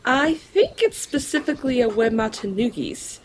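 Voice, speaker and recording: Woman as an animated, loyal, fair-minded friend. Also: fairly high pitch, moderate pace, slight background noise. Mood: alert. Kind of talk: audio drama acting.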